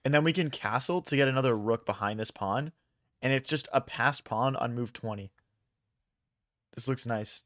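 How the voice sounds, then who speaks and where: Young male streamer, casual slang, mid-pitched, dry close mic. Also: fairly bright tone, no echo. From Philadelphia, USA. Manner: thinks aloud, casual slang, quick reactions to chat. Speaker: chess streamer. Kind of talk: casual live-stream commentary.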